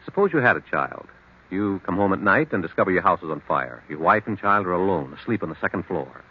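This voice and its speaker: A deep-voiced doctor in a vintage radio drama. He is calm and authoritative, probing with measured questions, his intonation swinging widely. The old recording sounds dull. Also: husky. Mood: brisk, probing. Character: calm, probing male doctor examining a patient. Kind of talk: old-time radio mystery acting.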